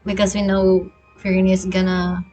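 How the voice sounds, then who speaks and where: A woman's voice: medium pitch, completely flat delivery, normal speed, over music. A woman game streamer giving casual live reaction commentary.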